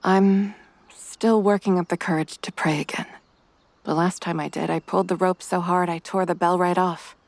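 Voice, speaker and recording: A woman voicing a reserved, refined mother who carries quiet guilt, with formal, measured wording in a motion comic. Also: fairly low pitch, steady tone, no room sound, lightly acted. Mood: sorrowful, hushed.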